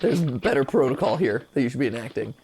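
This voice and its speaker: A man hosting a reaction show, giving excited, joking and incredulous commentary full of exclamations. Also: mid-range voice, quick pace, creaky, slight background noise.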